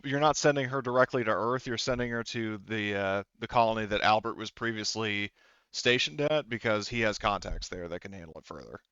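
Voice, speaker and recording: A man's voice: fairly low pitch, fairly quick, a bit bright, clean tone, dry recording, dull audio. A man acting as game master, explaining tabletop game details in a hesitant, rambling way. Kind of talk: improvised tabletop game narration.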